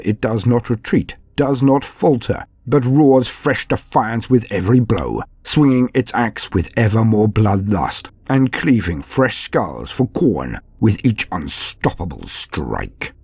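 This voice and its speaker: A man with a gravelly, husky voice hosts a fantasy lore show, narrating in a dramatic, portentous and formal manner. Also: on the low side, sing-song, a little slow, few pauses, darkish tone, dry recording. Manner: theatrical, portentous, formal diction. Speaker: host of a fantasy lore channel.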